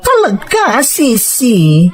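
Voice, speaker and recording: A woman playing a chatty, carefree salon owner in a radio drama, with exclaiming, drawn-out greetings. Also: fairly high pitch, huge pitch swings, full character voice. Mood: breezy, teasing. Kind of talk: Ilocano radio drama acting.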